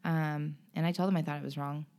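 A woman guest in an interview, speaking earnestly and emotionally about her own experience, with frequent pauses and restarts. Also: low voice, steady tone, dark tone. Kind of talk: candid personal interview.

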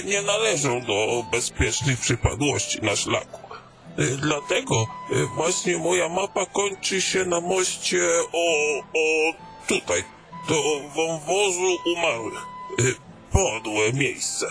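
Guy playing fussy old fantasy mapmaker, kinda high voice, slow n stammery, chatty, music behind him. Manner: hesitant stammering, dithering, mumbling to himself. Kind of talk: fantasy audio drama acting. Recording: background music under the voice.

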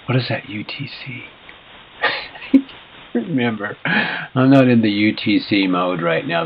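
A man hosting a live radio show in a casual, rambling, jokey way, with hesitations and frequent chuckles. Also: low voice. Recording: dull lo-fi radio sound, clear background noise.

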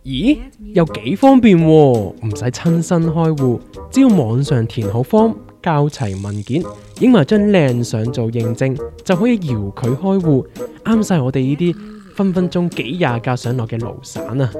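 A man's voice: on the high side, sing-song, moderate pace, music in the background. Man acting as theatrical, swooping veteran small-time trader. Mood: enthused. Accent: Hong Kong. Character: excitable male veteran retail stock trader, teasing know-it-all. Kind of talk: Cantonese comic radio drama dialogue.

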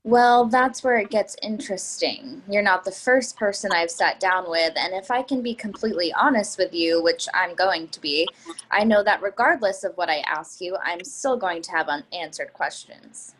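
A woman's voice: on the low side, normal speed, a bit bright, slight background noise, lightly acted, low-quality recording. A woman playing a direct, businesslike young professional in a play reading, formal and pointed as she questions. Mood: cool, probing.

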